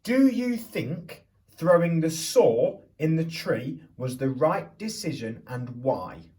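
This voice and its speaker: A man reading a picture book aloud to young children as a teacher would, theatrically, pausing to put questions to them. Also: fairly high pitch, big pitch swings, dark tone, far from the mic. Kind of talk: expressive children's storybook reading with questions to listeners.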